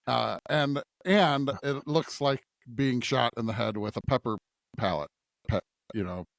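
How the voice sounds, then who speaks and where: A middle-aged man co-hosting a podcast, talking through scripture in a halting, reflective, conversational way and often checking for agreement. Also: mid-range voice, gravelly, no room sound. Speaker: podcast co-host.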